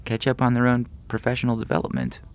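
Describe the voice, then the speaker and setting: A man voicing a calm, officious starship computer with a formal, unflappable manner in a sci-fi comedy audio story. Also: medium pitch, quick pace, pitched above the performer's own voice.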